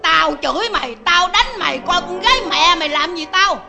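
A shrill, very high-pitched old mother-in-law barking abuse and threats at her daughter-in-law. She is domineering and bullying. Loud music swells behind her. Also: expressive, brisk, vocal fry, full character voice. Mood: raging. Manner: scolding, abusive, shouting threats.

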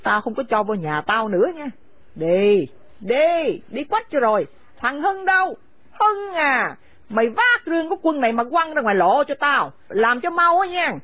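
Lady doing a furious old-style mother-in-law, high voice, dry close sound, chasing the bride out. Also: sing-song, drawn-out words, hardly any pauses, no echo, exaggerated voice. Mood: scolding. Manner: scolding, shouting insults. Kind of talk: radio drama acting.

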